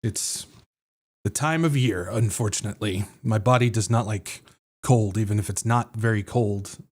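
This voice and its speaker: A man's voice: lowish voice, moderate pace, darkish tone. A man with an American accent hosting a podcast, sounding a little nasal from drainage, talking casually with lots of fillers and self-corrections. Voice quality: slightly nasal. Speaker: podcast host. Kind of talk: casual livestream talk.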